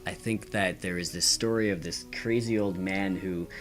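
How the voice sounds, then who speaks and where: A man's voice: sits low, steady tone, normal speed, fairly bright tone, soft background music. A man answering quiz questions casually as a podcast guest, thinking aloud as he goes.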